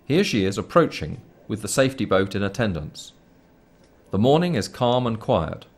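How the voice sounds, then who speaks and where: A man reading a scripted documentary commentary in a formal, measured way. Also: fairly low pitch, big pitch swings. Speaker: narrator.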